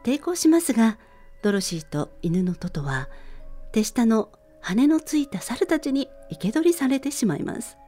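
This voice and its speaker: A woman's voice: a little high, sing-song, normal speed, mellow, faint music behind. A woman host narrating a scripted story synopsis in radio-drama style, phrase by phrase with expression.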